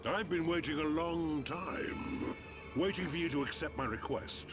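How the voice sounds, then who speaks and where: A man voicing a massive, aged mechanical chief in a game, grave yet amused, with a deliberate, weighty delivery and loud music underneath. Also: fairly high pitch, close to an everyday voice. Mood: grave, probing. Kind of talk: scripted game cutscene acting.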